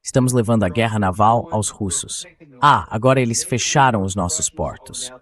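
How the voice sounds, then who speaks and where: A somewhat low male AI dubbing voice plays the part of interviewer. He frames political points as rhetorical questions. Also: moderate pace, a bit bright. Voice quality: synthetic AI dubbing voice. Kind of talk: dubbed political discussion.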